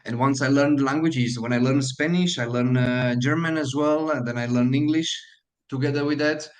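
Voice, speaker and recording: A man with a Slovak accent answering questions in an online meeting, earnest and halting, with many fillers and restarts. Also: medium pitch, fairly even intonation. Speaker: guest speaker, hotel manager. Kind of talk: online Q&A talk.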